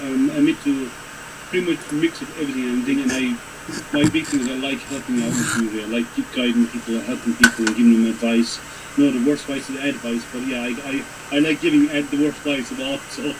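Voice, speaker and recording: A man from Ireland, a guest on a casual livestream, joking and restarting his sentences. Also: mid-range voice. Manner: quick, joking, many restarts. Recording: noisy background.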